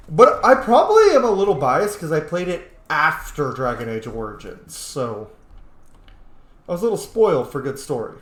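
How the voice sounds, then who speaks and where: Guy doing casual game commentary, swears a lot, pitch all over the place, roomy echo. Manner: sweary, excitable, conversational. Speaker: gaming video host.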